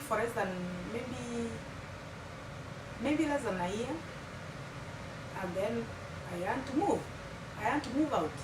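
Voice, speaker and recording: A woman in her thirties from Kenya with a Kenyan accent, whose first language is Kimeru, telling her story to viewers in a chatty vlog and dropping Swahili phrases. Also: a little high. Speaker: vlogger. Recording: echoey room from far off, loud background hum.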